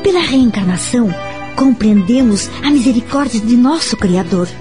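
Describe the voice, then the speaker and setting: An actress with a Brazilian accent playing a calm, kind married woman who counsels patiently in a radio soap opera. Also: fairly high pitch, normal speed, over music, lightly acted. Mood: calm, instructive.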